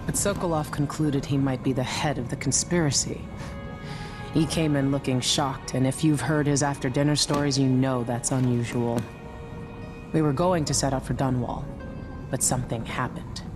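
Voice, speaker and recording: A woman playing a gruff, guarded, middle-aged ship captain with a dry, blunt delivery in a video game. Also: a bass voice, fairly even intonation, moderate pace, over music. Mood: somber. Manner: dry, blunt, matter-of-fact. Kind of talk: dramatic game dialogue.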